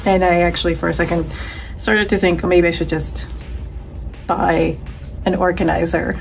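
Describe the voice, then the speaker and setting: A woman's voice: on the low side, flat delivery, moderate pace, gravelly, soft background music. A woman in her thirties narrating a casual craft vlog, informally thinking aloud as she goes. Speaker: crochet crafter and vlogger.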